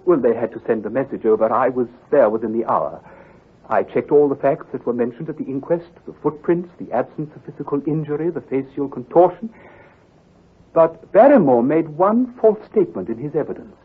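An actor playing an earnest, troubled country doctor who speaks gravely and precisely, with some hesitation, in old-time radio drama style. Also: male voice, medium pitch, a little fast. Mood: troubled, recounting. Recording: muffled, husky old radio recording.

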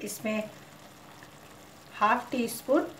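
A woman hosting her cooking channel, calmly talking through a recipe step by step and slipping English cooking words into Hindi. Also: on the high side, takes it slowly, echoey room, a little hiss or hum, poor audio.

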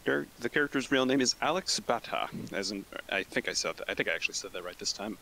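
A man's voice: fairly low pitch, animated, fast talker, gravelly, bright, forceful tone, a little hiss or hum, low-quality recording. A man playing a tabletop role-playing game, laying out his character's backstory with a wry, self-mocking tone. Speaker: player.

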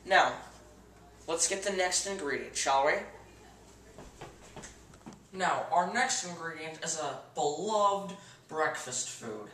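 A teenage boy hosting a mock cooking show in his home kitchen, giving earnest step-by-step instructions for an absurd recipe in a deadpan tone. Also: on the high side, slow pace, pauses often, slight background noise. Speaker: host of a home cooking parody. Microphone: camera mic across the kitchen. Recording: echoey kitchen, camera a bit far.

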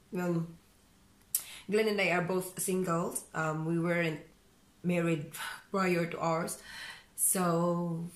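Woman, 30s, Filipino accent, fairly low voice, relaxed home vlogger, chatty with fillers. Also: poor audio. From Philippines. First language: Visaya. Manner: chatty, many "you know" fillers and restarts. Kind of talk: casual vlog chat, reading comments. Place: bright room at home, indoors.

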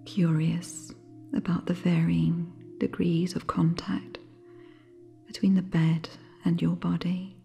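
A woman with a low, soft, breathy voice leads a guided sleep meditation. She keeps a very slow pace and a level, barely moving intonation. Her manner is gentle and soothing, with faint singing bowl music behind her. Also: flat delivery.

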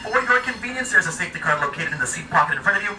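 A male train attendant races through a joking safety talk in a fairly high voice. He sounds well away from the mic, in an echoey coach, with loud train rumble all around him. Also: expressive, rapid-fire, distant mic. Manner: playful, showman-like. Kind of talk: safety announcement with jokes. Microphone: far camera.

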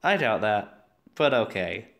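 Matt, man playing creaky-voiced wry teenage boy. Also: fairly low pitch, very animated, a little slow, a bit bright, heavily acted. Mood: wry, grumbling. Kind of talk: audio drama dialogue.

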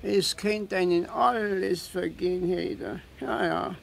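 An actor playing an aggrieved old caretaker with a Bavarian accent, lamenting plaintively in a children's radio play. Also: male voice, high voice, gravelly, slight background noise, exaggerated voice. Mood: bitter, mournful. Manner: lamenting, drawn-out.

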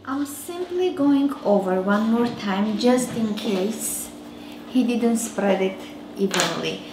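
A woman's voice: a little high, animated, takes it slowly, music in the background. A woman in her thirties with an Armenian accent hosts a home cooking show, chatting and teasing her child as she demonstrates a recipe. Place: large home kitchen. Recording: roomy kitchen with dish and cutlery clatter. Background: clinking dishes and utensils.

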